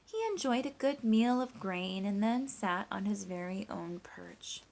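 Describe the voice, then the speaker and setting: A woman's voice: mid-range voice, unhurried, creaky, a little mellow, poor audio. A woman teacher reading a picture book expressively and walking young listeners through simple sums step by step, prompting them with questions. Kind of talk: expressive storybook read-aloud mixed with simple math teaching.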